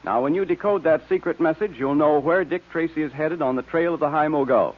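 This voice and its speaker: A man's voice: a little high, brisk. A man announcing and selling in an old radio style, emphatic and salesman-like, as if addressing children. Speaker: announcer and sponsor pitchman. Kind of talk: 1930s-40s radio announcing and advertising. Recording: muffled vintage radio broadcast recording.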